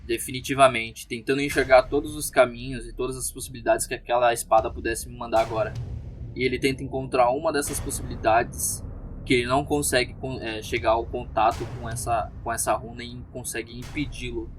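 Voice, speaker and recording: A man's voice: medium pitch, little pitch movement, fairly quick, faint music behind. A man with a Brazilian accent, a tabletop RPG player improvising a narration of his character's actions.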